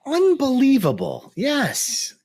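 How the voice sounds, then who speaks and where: A man co-hosting a relaxed podcast, agreeable and quick to chime in with short remarks. His voice is a little husky, and his pitch moves around with a lively lilt. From Delaware County, Pennsylvania, USA. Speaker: podcast co-host. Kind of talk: casual chat.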